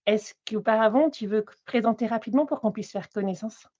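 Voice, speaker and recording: A woman hosting a podcast interview, welcoming her guest and laying out the topics in a clearly structured way. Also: on the high side, a little fast, darkish tone, muffled recording. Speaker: podcast host.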